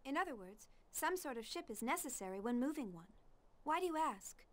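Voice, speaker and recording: An actress playing an earnest, intelligent young scientist in an English-dubbed video game, speaking precisely. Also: female voice, sits high, very animated, brisk. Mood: inquiring, technical.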